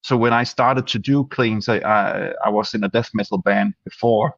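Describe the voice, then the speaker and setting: A man's voice: lowish voice, steady tone, moderate pace, vocal fry, fairly bright tone, no echo, highs cut off. A Danish man, a band's singer, answering interview questions hesitantly with many restarts. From Denmark. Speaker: band singer.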